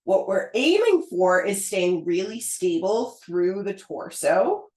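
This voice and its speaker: Hoarse woman, pilates instructor, patient step-by-step cueing, echoey room. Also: mid-range voice, slow pace. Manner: calm, encouraging step-by-step cueing. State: hoarse, getting over a cold. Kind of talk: guided pilates class cues. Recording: roomy echo.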